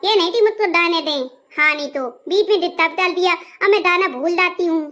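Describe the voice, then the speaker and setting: A sweet young cartoon bride with a very high voice. She lisps like a small child, swapping consonants in baby talk, and is chatty and cheeky with her in-laws. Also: a little fast, pushed and bright. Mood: indignant. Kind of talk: comic family skit acting.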